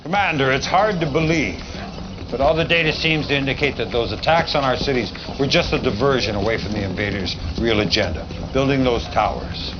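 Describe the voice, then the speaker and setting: A man's voice: mid-range voice, fairly quick, natural voice. An actor playing a blunt, weary general briefing his commander in a video game cutscene. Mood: urgent resolve. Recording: dull lo-fi audio, clear background noise.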